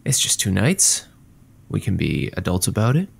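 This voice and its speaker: A man playing an earnest, halting animal doctor who hosts a radio show, in an audio drama. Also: sits low, sing-song, creaky, husky, heavily acted. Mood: earnest, hesitant. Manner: halting, awkward. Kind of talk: audio drama acting, conversational.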